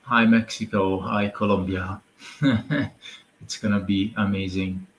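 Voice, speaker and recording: A man with an Italian accent hosting a live online meeting, talking in a hesitant, halting way and switching between Spanish and English. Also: deep voice, flat delivery, roomy sound. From Italy. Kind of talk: livestream briefing.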